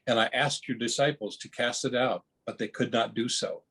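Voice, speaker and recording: Husky-voiced man reading scripture. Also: mid-range voice, steady tone, moderate pace, a bit bright. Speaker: scripture reader. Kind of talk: Bible reading. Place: at home, on a video call. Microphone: video call.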